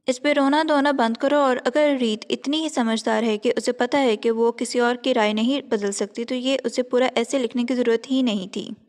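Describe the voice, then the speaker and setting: High-pitched Indian woman narrating celebrity gossip. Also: big-room sound. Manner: mixes Hindi with frequent English words. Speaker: entertainment news presenter.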